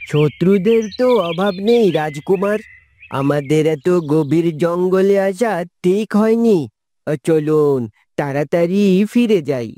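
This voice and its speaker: A man's voice: high-pitched, animated, slow pace, mellow, no echo. A man voicing a worried, cautious royal attendant in a fairytale cartoon, speaking deferentially. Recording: music behind the dialogue.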